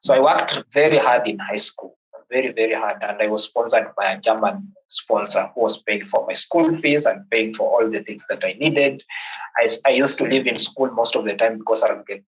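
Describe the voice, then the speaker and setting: A man's voice: on the low side, moderate pace, a bit bright, poor audio. A man from Kenya, with a Kenyan accent, in his thirties, telling a podcast host his life story in a reflective way and often repeating words as he begins sentences. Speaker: guest.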